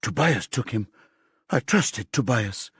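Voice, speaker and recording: A man voicing a desperate, hostile teenage girl in an audiobook thriller, hoarse and breathless, prone to swearing outbursts. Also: mid-range voice, animated, slow pace, no echo, studio quality, full character voice. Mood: distraught.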